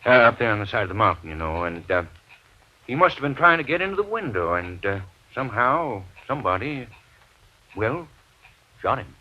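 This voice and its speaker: An actor playing a folksy, stammering small-town sheriff's officer in an old radio mystery, halting and peppering his talk with filler phrases. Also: medium pitch, big pitch swings. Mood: halting, reluctant. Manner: hesitant, stammering, repeating "you know". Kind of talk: 1940s-50s radio drama acting. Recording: dull vintage radio broadcast recording.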